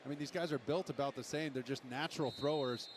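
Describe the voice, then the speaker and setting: A male color analyst with a mid-pitched voice breaks down football in a broadcast style. He is colloquial and emphatic, with clear stadium noise behind him. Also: fast.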